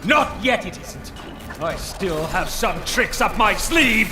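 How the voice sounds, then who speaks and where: A man's voice: high-pitched, big pitch swings, takes it slowly, music in the background, full character voice. An actor playing a petulant, scheming usurper in a game cutscene, whiny and theatrical, sure of his own genius. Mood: petulant, defiant. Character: petulant mad usurper, scheming self-proclaimed genius villain. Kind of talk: cartoonish game cutscene acting.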